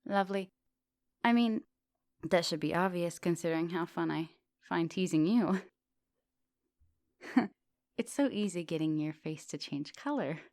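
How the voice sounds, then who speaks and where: A woman playing an immortal vampire professor in an ASMR roleplay, teasing, flirtatious and gently insistent. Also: fairly low pitch, unhurried, pauses often, no echo. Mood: playful teasing. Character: teasing, thousand-year-old female vampire professor, soft dominant, charming. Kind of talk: ASMR roleplay, intimate one-sided conversation.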